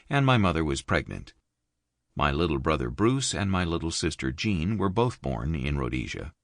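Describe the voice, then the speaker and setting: A man reading an audiobook in a measured, expressive studio narration. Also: gravelly, no echo. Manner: measured, expressive reading with wide pitch movement. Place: dry recording booth. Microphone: close studio mic.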